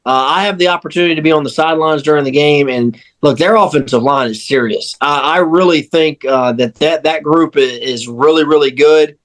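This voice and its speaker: A man's voice: fairly high pitch, brisk, hardly any pauses. A man on a sports podcast crew, talking casually and emphatically, repeating phrases to drive points home. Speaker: sports radio crew member and panelist.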